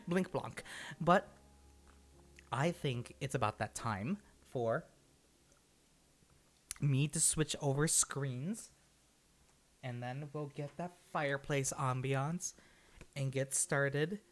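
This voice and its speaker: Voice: medium pitch, fairly bright tone. A livestream host chatting casually and rambling from thought to thought, with an easy habit of laughing and swearing.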